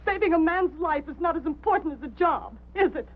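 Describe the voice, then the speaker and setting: A woman's voice: extremely high pitch, brisk. An actress playing the anguished, accusing wife of a missing man, pleading and reproachful in an old film drama. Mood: bitter, accusing. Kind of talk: mid-century American film drama acting. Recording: muffled, band-limited old film soundtrack, faint hum.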